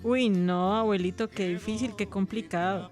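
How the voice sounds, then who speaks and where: A woman's voice: mid-range voice, steady tone, normal speed, loud music behind the voice, lightly acted. A woman with a Colombian accent playing an attentive, affectionate granddaughter reacting expressively in a radio drama. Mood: moved, tender.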